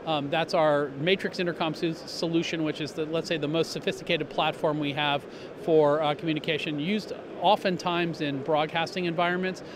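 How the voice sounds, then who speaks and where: A man's voice: on the high side, steady tone, moderate pace. A middle-aged man explaining a product in an upbeat way at a trade show booth, talking into a handheld mic, with lots of fillers and restarts. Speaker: company representative. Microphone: handheld interviewer mic. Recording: noisy trade show hall.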